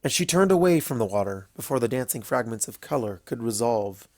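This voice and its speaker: A man reading scripted fiction as a narrator, in a measured and deliberate way. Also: mid-range voice, lively intonation, darkish tone, no echo, studio quality. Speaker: audiobook-style fanfiction narrator.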